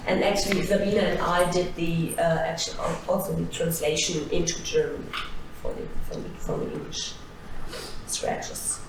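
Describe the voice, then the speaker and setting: A woman talking on a seminar panel, halting and restarting her sentences with frequent fillers. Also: lowish voice, fairly even intonation. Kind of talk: conversational panel talk. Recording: echoey hall, distant mic, faint noise.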